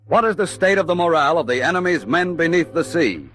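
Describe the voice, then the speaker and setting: An actor playing an urgent, rhetorical war announcer in an old radio style. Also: male voice, fairly high pitch, lively intonation, fast, fairly bright tone. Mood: urgent, portentous. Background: music.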